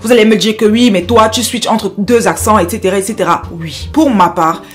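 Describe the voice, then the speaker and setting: A woman's voice: mid-range voice, fairly quick, faint music behind. A woman from Cameroon with a Cameroonian French accent, speaking straight to her viewers in an impassioned vlog and repeating herself for emphasis. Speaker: YouTuber.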